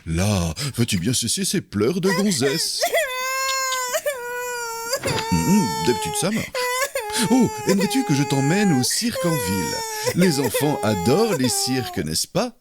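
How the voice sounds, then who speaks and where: A man's voice: on the high side, huge pitch swings, moderate pace, very noisy. Haughty demon king, wildly swooping delivery. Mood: exasperated, dismissive. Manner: pompous, theatrical, breezily dismissive. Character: haughty, flippant demon king, negligent aristocrat father. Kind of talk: animated cartoon fan dub. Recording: loud music behind the voice.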